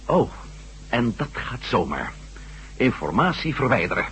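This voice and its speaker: An actor playing a sceptical, persistent former astronaut turned reporter in a radio drama, polite but challenging. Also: male voice, mid-range voice, very animated, unhurried, a bit bright, a little hiss or hum. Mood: curious, sceptical.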